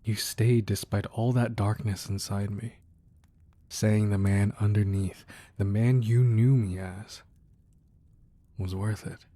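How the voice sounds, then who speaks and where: Guy acting as a protective crime boss boyfriend, low dark relaxed voice, soft and earnest, romantic asmr roleplay. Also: slow, no echo. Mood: tender, reflective. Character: devoted, protective crime boss boyfriend confessing his feelings. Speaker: audio roleplay performer. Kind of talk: romantic ASMR roleplay, intimate scripted monologue.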